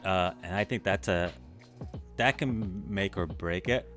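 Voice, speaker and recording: A man co-hosting a podcast, giving his opinions in casual, animated talk. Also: low voice, unhurried, faint music behind. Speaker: podcast co-host. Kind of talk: casual chat.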